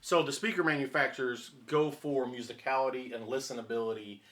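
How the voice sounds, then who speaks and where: A man's voice: medium pitch, steady tone, brisk, fairly bright tone. Male expert co-host, mid-range voice. Conversational explainer, emphatic, circles back to main points. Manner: emphatic, repeats key points. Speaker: audio reviewer and speaker designer, co-host.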